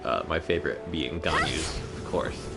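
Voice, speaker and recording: Male game livestreamer, deep and slow, crude casual commentary over game music. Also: gravelly. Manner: crude, sweary, slangy.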